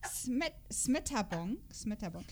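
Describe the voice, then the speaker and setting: A woman's voice: a little high, sing-song, takes it slowly, a little hiss or hum. A woman in a casual podcast chat, playful and giggly, reading character profiles aloud and stumbling over words. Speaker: podcast host.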